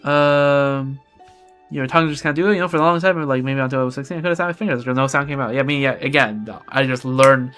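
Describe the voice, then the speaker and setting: A man's voice: medium pitch, quick pace, a bit bright, smooth, faint music behind. A young man chatting casually while playing a game on a livestream, rambling with lots of restarts and self-deprecating asides. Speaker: streamer. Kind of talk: casual gameplay livestream chat.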